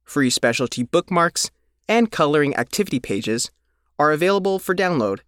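A man reading a scripted news feature as its reporter, in measured, deliberate short phrases. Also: fairly high pitch, lively intonation, fairly bright tone, dry recording, studio quality. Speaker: reporter reading his own written feature.

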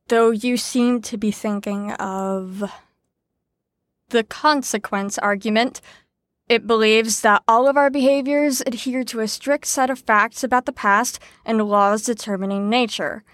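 A woman's voice: on the high side, steady tone, measured pace, a bit bright, no echo. An actress in an audio drama playing an exasperated, sardonic young woman who leads a group lesson in a weary, lecturing manner. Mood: dry, lecturing.

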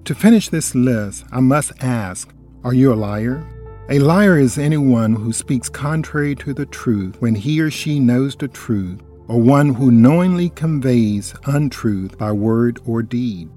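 A man's voice: mid-range voice, unhurried, warm tone, soft background music. A man presenting a scripted radio Bible study, reading scripture and asking the listener earnest, admonishing questions. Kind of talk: scripted religious narration.

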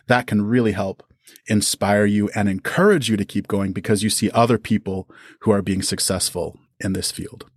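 A man's voice: lowish voice, no room sound, studio quality. A young adult man chatting casually as a podcast guest, giving advice in an encouraging way.

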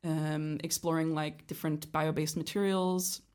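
A young woman raised in Canada, chatting as a podcast guest about her work, often pausing with ums and restarting sentences. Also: deep voice, little pitch movement, darkish tone. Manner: hesitant, many ums and restarts. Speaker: guest, project leader. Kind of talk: casual interview chat.

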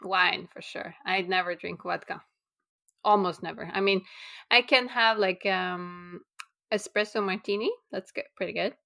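A woman's voice: mid-range voice, a little slow, vocal fry, sharp tone. Teasing, blunt woman podcast host. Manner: blunt, teasing, matter-of-fact. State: pregnant. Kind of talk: casual chat.

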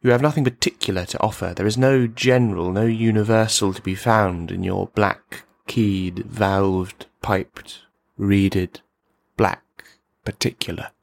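Low male voice, man acting a bitter army veteran, radio play monologue, rambling, brooding, brittle, menacing. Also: fairly even intonation, unhurried, creaky. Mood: cold, probing.

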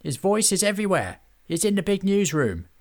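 A man doing a hurried, high-voiced herald. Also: sing-song. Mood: helpful. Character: rushed, helpful herald.

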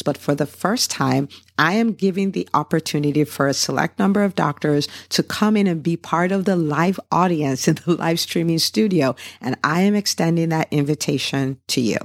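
A woman's voice: low voice, creaky, no room sound, clean studio sound. A woman hosting a podcast in an upbeat, promotional style, speaking emphatically and directly to listeners. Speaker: podcast host.

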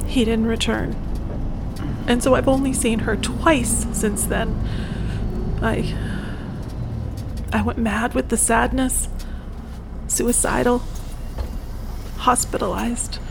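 A woman's voice: steady tone, slow, long pauses. A woman acting in an audio play as a chatty, familiar elderly bohemian painter. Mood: sorrowful. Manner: folksy, familiar, chuckling. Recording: clear vehicle rumble behind the voice. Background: bus ride ambience.

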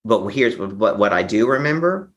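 A man giving a personal account as an interview guest, speaking haltingly, often repeating and restarting his phrases. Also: on the low side, very animated, muffled recording. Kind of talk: personal recovery storytelling.

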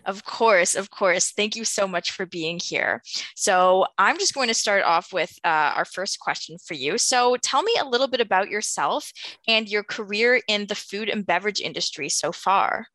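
Bright, upbeat woman host. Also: mid-range voice, animated, moderate pace, no room sound, rough recording. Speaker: podcast host, journalist and moderator. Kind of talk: podcast hosting.

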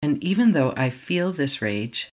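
A meditation guide leading a tapping session, speaking slowly and calmly in short affirmations for the listener to repeat. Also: mid-range voice. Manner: calm, slow, repeating affirmations to be echoed back.

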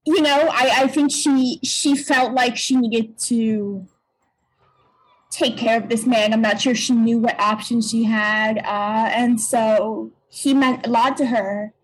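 Childlike, high-voiced American woman. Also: fairly even intonation, slow pace, breathy edge, poor audio. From Pennsylvania, United States. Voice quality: childlike, youthful tone. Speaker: voice and stage actor, interview guest. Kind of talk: casual interview conversation.

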